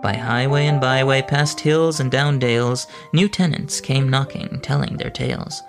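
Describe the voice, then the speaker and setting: A woman's voice: extremely low pitch, normal speed, gravelly. A woman reading a rhyming children's story aloud in a sing-song, rhythmic way. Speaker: narrator. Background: music.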